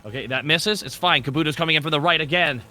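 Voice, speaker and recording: A man playing a tabletop role-playing game, chatting casually and reacting in an excitable, exclamatory way. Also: fairly high pitch, quick pace. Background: music.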